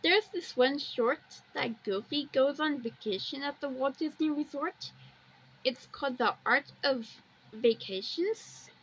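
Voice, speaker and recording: A woman's voice: sits high, measured pace, slight background noise, rough recording. A woman giving a casual amateur video walkthrough, plainly describing pictures and wandering off into personal asides.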